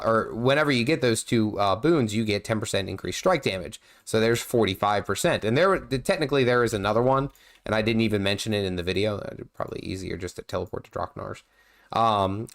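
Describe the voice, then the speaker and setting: A man with a nasal, under-the-weather voice, rambling through casual livestream commentary with lots of fillers and restarts while he plays. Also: lowish voice. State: nasal from feeling unwell. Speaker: gaming livestream host.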